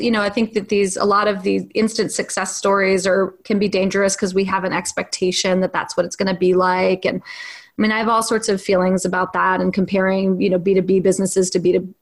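Woman, frank, reflective, casual swearing, restarts, conversational. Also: mid-range voice. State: single mom. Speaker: acting coach and podcast guest. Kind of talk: candid conversational interview.

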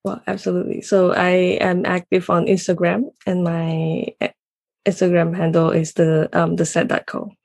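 A woman with a Malaysian accent chats as a podcast guest. Her voice is husky and creaky, recorded close and dry. She talks in a halting, unassertive way. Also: lowish voice, monotone, a little mellow, no room sound. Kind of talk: casual interview conversation.

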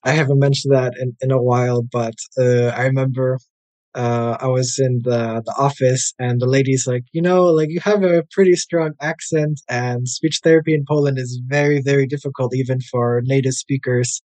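A man's voice: medium pitch, normal speed, dry recording. American man, easygoing chat, dry close sound. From United States. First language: English. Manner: relaxed and chatty, many "like" and "uh" fillers. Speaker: guest, English language coach. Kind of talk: casual interview conversation.

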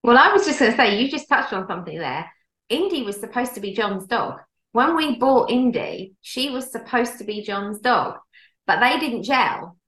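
A woman's voice: a little high, lively intonation, normal speed, a bit bright. A woman co-hosting a podcast, chatting casually with frequent laughs and restarts. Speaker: podcast co-host and dog trainer. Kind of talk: casual chat. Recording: echoey room.

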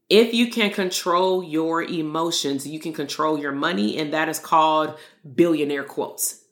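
A woman's voice: deep voice, echoey room. A woman hosting a solo motivational podcast as a therapist coach, talking earnestly and repeating key lines for emphasis. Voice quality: loud voice.

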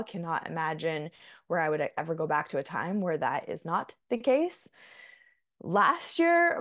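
A woman's voice: fairly low pitch, dry recording, studio quality. A woman podcast host with a slightly crackly voice from a recent cold, chatting casually and reflectively to her listeners. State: getting over a respiratory illness. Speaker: podcast host, fitness coach. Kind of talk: casual solo podcast talk.

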